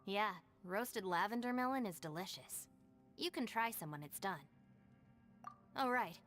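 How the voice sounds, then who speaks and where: A woman voicing a calm, sensible young gang deputy in a video game, composed and polite. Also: mid-range voice, measured pace, soft background music. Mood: calm, helpful.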